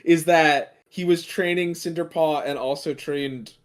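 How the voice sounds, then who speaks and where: A man's voice: on the high side, steady tone, measured pace. A man on a podcast panel, talking in casual, slangy chat.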